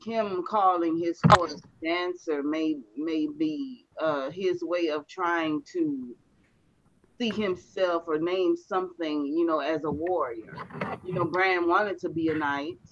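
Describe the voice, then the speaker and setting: A man talking casually in a book club discussion, halting and repeating phrases with many fillers. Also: on the high side, fairly bright tone, muffled recording, a little hiss or hum.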